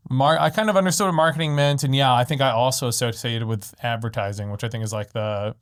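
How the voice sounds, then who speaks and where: A man's voice: medium pitch, fairly even intonation, fast talker, clear, resonant tone. Man, podcast host, casual and chatty, mid-range voice, studio mic. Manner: chatty, rambling with fillers and tangents. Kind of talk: casual conversational interview. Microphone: studio microphone.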